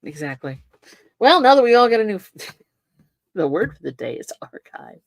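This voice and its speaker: A woman's voice: mid-range voice, big pitch swings, moderate pace, creaky, no echo. Playful older woman with a dry close sound. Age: 60s. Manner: repeats catchphrases, laughs often, playful banter. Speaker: co-host. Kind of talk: casual chat. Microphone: desk microphone.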